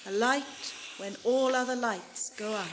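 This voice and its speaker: A woman's voice: on the high side, a little slow, background noise, close to an everyday voice. An actress playing a serene, ancient elf queen, speaking with solemn, ethereal measure in a 1980s radio drama.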